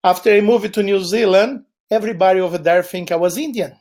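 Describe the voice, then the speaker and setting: A man's voice: high-pitched, fast, a little mellow. A man from Chile with a Brazilian Portuguese accent, Portuguese his first language, giving a webinar presentation in a meandering way with frequent fillers.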